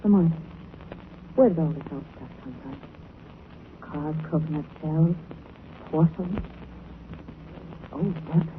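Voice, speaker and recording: A woman's voice: deep voice, a little slow, pauses often, narrow, tinny audio. An actress playing a plucky, inquisitive lady companion to a detective in a 1940s radio drama, theatrical and earnest, on a scratchy old recording. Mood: curious.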